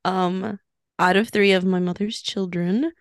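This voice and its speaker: A woman's voice: medium pitch, steady tone, unhurried, no room sound, clean studio sound. A woman in her thirties co-hosting a podcast over a video call, with a nasal voice, giggling and teasing. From Mexican heritage. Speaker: podcast co-host. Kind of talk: casual chat.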